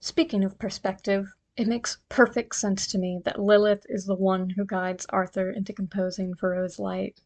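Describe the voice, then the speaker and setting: A woman's voice: medium pitch, flat delivery, normal speed, hardly any pauses, a little mellow. A woman giving a prepared listener voice message, speaking earnestly and with enthusiasm about a story.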